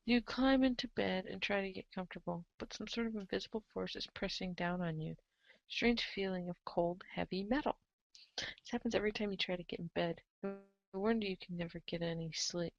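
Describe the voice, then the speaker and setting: A woman's voice: medium pitch, brisk, vocal fry, highs cut off. A young adult woman reading second-person story narration as the narrator of an amateur reader's theater.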